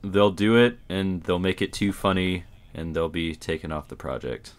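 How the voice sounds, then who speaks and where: Male podcast co-host, fairly deep, blunt and jokey, casual delivery. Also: moderate pace. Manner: blunt, joking. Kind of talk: casual chat.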